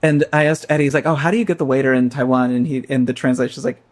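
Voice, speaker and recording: Male podcast co-host, casual storyteller, mid-pitched, laughs easily. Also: racing. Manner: animated storyteller, laughs often. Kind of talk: casual chat.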